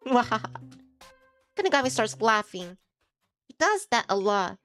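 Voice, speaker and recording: A woman's voice: fairly high pitch, very animated, takes it slowly, a bit bright, dry recording. A woman streamer casually narrating a visual novel as she reads it aloud. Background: music.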